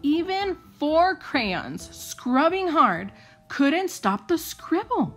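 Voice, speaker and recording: A woman reading a children's picture book aloud in an expressive, theatrical and deliberate way. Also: sits high, soft background music.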